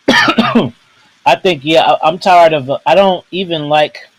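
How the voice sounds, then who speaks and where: Rambling laid-back man, somewhat high. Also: takes it slowly, dry recording, poor audio. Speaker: podcast co-host. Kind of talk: casual wrestling chat.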